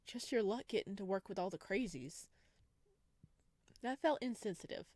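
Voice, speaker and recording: A woman's voice: medium pitch, normal speed, darkish tone, no echo. Woman casually reading game text, very dry sound. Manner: playful, giggly asides. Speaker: gaming video creator reading and playing a visual novel.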